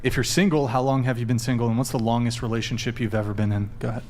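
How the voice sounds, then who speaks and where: A man hosting a dating panel show, asking brisk follow-up questions around the table. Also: mid-range voice, fairly even intonation, a little mellow. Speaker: podcast host.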